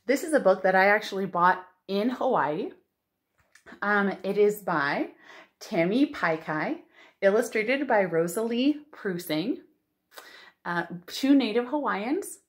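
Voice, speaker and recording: A woman in her thirties hosting a storytime for young children, reading aloud and chatting in an expressive, exclamatory way. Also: mid-range voice, slow pace. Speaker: children's librarian and storytime host. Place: living room at home.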